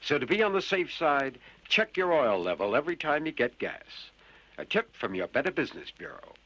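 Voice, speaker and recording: A man's voice: fairly high pitch, sing-song, normal speed, fairly bright tone, no echo. A man reading a scripted public service advice spot on the radio. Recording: slightly dull radio broadcast recording.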